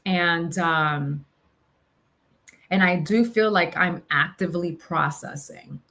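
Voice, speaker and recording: Slow, hesitant woman in reflective conversation. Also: on the low side, sharp tone. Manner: hesitant, searching for words. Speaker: podcast host.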